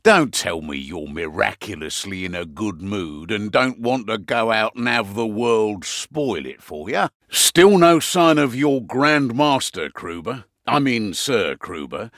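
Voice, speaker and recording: A man's voice: fairly low pitch, expressive, a little slow, gravelly, a bit bright, no echo, clean studio sound, full character voice. A man playing a roguish middle-aged innkeeper and spymaster in a video game, wry and grumbling, with teasing, colloquial banter. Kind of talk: video game character voice lines.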